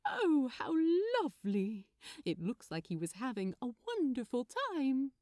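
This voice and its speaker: A woman puts on a kindly, polite man in an audiobook story. He sounds cheerful and gently effusive, with animated swoops in a slightly high register and an unhurried pace. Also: no room sound, studio quality. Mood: cheerful, friendly. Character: kindly, cheerful, polite male angelic gift-wrapper.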